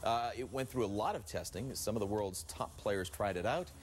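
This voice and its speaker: A man's voice: lowish voice, moderate pace, slight background noise. An American man anchoring morning TV news, mixing read copy with casual banter.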